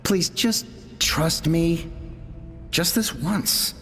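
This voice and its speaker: A woman's voice: fairly low pitch, extremely slow, faint music behind. A woman playing an earnest, burdened young woman who pleads, in video game voice acting. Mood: pleading, desperate. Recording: long reverberant echo.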